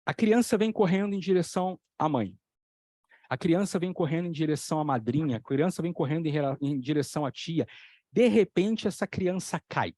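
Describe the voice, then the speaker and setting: Animated man explaining with examples. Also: fairly high pitch, brisk, no echo. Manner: animated storytelling, acting out voices in the examples; repeats key phrases for emphasis. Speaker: psychoanalyst lecturer. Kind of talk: explanatory talk on a video channel.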